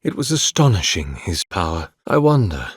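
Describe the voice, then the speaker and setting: An actor playing an ancient, weary, devout priest in a video game, speaking formally and eloquently with solemn dignity. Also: male voice, low-pitched, very animated, normal speed, slightly rough, dry recording, studio quality, heavily acted. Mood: wistful, indignant. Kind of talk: dramatic video game dialogue.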